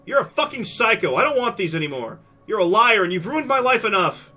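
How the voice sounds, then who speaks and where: A man voicing a volatile young dinosaur girl who screams in shrill outbursts. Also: steady tone, quick pace, faint music behind, lightly acted. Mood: screaming, distraught.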